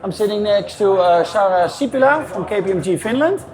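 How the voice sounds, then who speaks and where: Dutch-accented man hosting with halting phrasing. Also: high-pitched, lively intonation. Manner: halting, simple phrasing, frequent "uh". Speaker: podcast host. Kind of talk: casual interview. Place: busy event venue.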